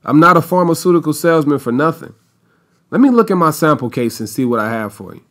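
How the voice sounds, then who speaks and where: Man acting a genial folksy American dad, higher voice. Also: expressive, quick pace, fairly bright tone. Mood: warm, reassuring. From United States. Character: genial, folksy father of a young Midwestern family. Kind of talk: family radio play acting.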